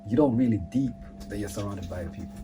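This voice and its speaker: A young man chatting casually in a group, slangy and quick in the back-and-forth. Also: fairly low pitch, over loud music.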